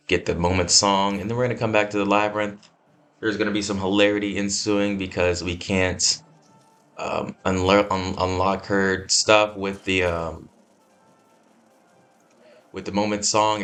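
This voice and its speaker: A man's voice: deep voice, fairly bright tone. A man hosting a laid-back gameplay podcast just after waking up, his voice scratchy and drowsy, rambling easily with lots of fillers and restarts. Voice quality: scratchy morning voice. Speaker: let's-play host.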